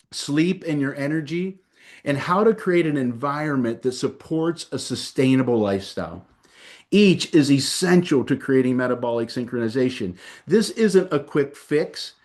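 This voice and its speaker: A man at a mid pitch for his sex, reading a prepared health pitch slowly and with stress on key words. He presents as a doctor, each line deliberate and polished, like a rehearsed webinar script. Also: darkish tone.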